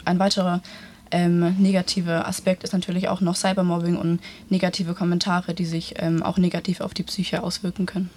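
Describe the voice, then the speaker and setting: A woman's voice: on the low side, level tone, brisk, darkish tone, slight background noise. An eighteen-year-old woman, a student panelist, reading a prepared answer with many filler hesitations. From Germany.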